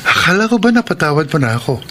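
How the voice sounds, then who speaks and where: A young Filipino husband who pleads and demands. His voice is high and aggrieved, in a melodramatic radio drama style, with faint noise behind it. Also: big pitch swings, fast, bright, forceful tone. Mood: resentful.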